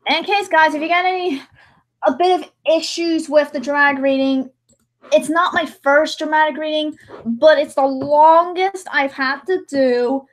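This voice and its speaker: A woman with a high voice hosts a casual livestream. She rambles as she works, and her talk has a theatrical, mock-dramatic flavor. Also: fairly even intonation, unhurried. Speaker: livestream host and amateur voice-over editor. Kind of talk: casual livestream chat while editing.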